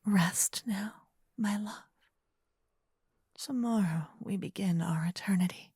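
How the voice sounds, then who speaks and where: A woman voicing a gentle, possessive, seductive female vampire lover in a breathy, intimate whispered ASMR roleplay, close to a binaural microphone. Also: mid-range voice, dry recording, full character voice. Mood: devoted, soothing. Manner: slow, intimate, lingering delivery with solemn romantic phrasing. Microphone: close binaural microphone, ear to ear.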